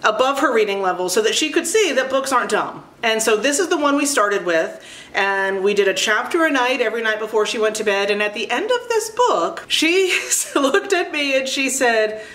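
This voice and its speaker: A woman's voice: on the high side, animated, normal speed, a bit bright, reverberant. A middle-aged woman giving casual book recommendations in a chatty, enthusiastic, emphatic way, as a video host.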